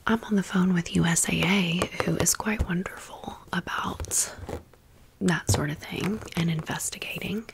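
A woman's voice: lowish voice, fairly even intonation, a little slow, gravelly, poor audio. An American woman whispering in a chatty, rambling ASMR style, as a video creator chatting through a haul and story. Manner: chatty, rambling, repeats words softly.

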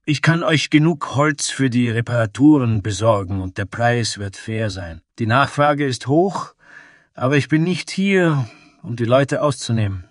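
A man's voice: medium pitch, expressive, measured pace, no room sound, pitched above the performer's own voice, lightly acted. Man voicing an old dragonkin carpenter, dry close sound. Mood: calm, fair. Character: old male dragonkin carpenter, fair, cautionary. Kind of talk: fantasy audio story character.

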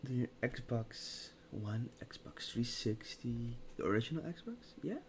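A young man plays a store clerk in a soft-spoken ASMR roleplay. He talks very slowly and quietly, in short phrases with pauses. The recording has clear background noise. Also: medium pitch, expressive. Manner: slow, hushed, with pauses. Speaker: ASMR creator playing a game store clerk. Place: small room at a desk, indoors.

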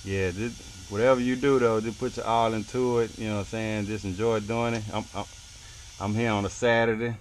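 A man's voice: lowish voice, steady tone, brisk, a little hiss or hum, rough recording. A young man working as a HVAC technician, talking casually and encouragingly while he works in a walk-in cooler, recorded on a head-mounted camera. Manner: laid-back, chatty, encouraging. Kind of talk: casual work vlog talk.

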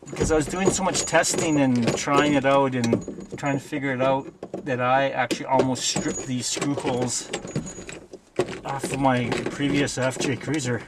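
A man with a Canadian accent giving a casual, unscripted hands-on tutorial in a plainspoken, halting way. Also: medium pitch, background noise. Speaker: DIY video presenter.

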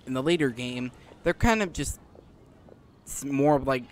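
A man's voice: mid-range voice, expressive, normal speed, a little hiss or hum. A man hosting a gameplay video, giving casual commentary and reading dialogue aloud. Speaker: gaming video host. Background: game music.

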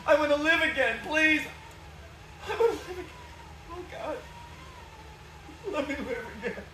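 High-pitched, excitable everyman acted by a man, echoey. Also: normal speed. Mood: frantic. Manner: stammering, pleading. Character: desperate, excitable everyman husband and father. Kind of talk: live stage radio-play acting. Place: small indoor theatre stage. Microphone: far camera from back of audience. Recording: distant, echoey, noisy theatre audience recording.

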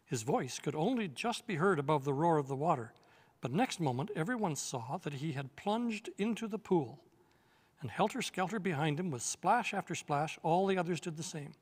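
An older man reading a children's novel aloud in a measured, expressive way, seated in an armchair on a studio set. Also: a little high, husky, in a large hall. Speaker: reader.

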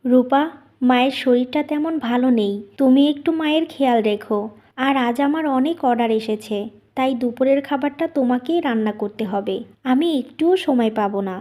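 A woman's voice: sits high, moderate pace, mellow, soft background music. A woman voicing a kind, dutiful young daughter-in-law in a cartoon story. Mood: caring. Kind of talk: Bengali cartoon story dubbing.

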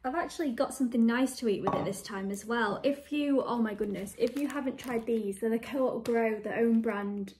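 A young woman from the UK chatting casually to camera about her week in her flat, upbeat and laughing often. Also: on the high side. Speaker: vlogger. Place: small flat living room and kitchen, indoors.

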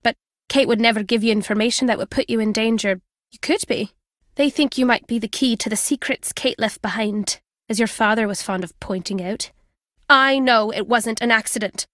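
A woman's voice: on the high side, moderate pace, fairly bright tone, dry recording, natural voice. An actress playing a wry, guarded older woman with a British accent, a former spy in a video game, dry and fond in manner. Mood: wistful, grave. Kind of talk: video game character dialogue.

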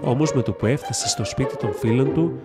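A male storybook narrator reads a scripted fairy tale at a middle pitch. Loud instrumental music plays behind him throughout.

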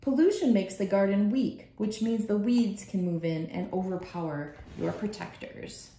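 A woman's voice: medium pitch, normal speed, warm tone, a little hiss or hum. Woman reading aloud in an echoey room. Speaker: children's book read-aloud host. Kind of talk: reading a picture book aloud. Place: small room at home, indoors.

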